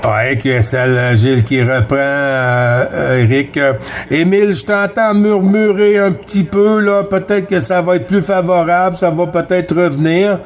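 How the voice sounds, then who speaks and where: A man with a Quebec French accent and a slightly high voice talks as a hobby radio operator. He keeps it casual and friendly, with courteous handovers and plenty of radio jargon. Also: steady tone, a little slow, a little hiss or hum. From Quebec, Canada. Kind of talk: casual radio contact chat.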